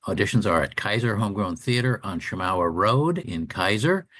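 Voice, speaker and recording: A man's voice: on the low side, expressive, moderate pace, a bit bright. A man co-hosting a radio show, reading scripted community calendar announcements.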